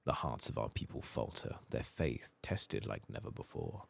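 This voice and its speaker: Man voicing fantasy game priestess, grave, sorrowful, measured, soft-spoken, very low pitch, creaky edge. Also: sing-song, heavily acted. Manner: solemn, formal, measured.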